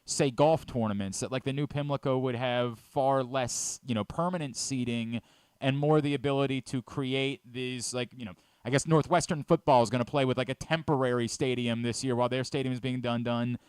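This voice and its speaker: Clear-voiced male radio host. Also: mid-range voice, fairly even intonation, fairly quick, a little mellow, dry recording. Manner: conversational, wordy questioning. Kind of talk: sports talk radio interviewing.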